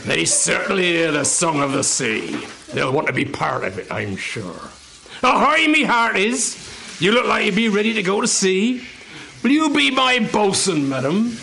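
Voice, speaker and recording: An actor playing a hearty seafaring captain with a swooping delivery in a live radio play. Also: male voice, sits high, big pitch swings, normal speed, gravelly, bright, forceful tone, a little hiss or hum, heavily acted, rough recording. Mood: hearty, commanding. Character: hearty, rosy male sea captain; deferential office employee.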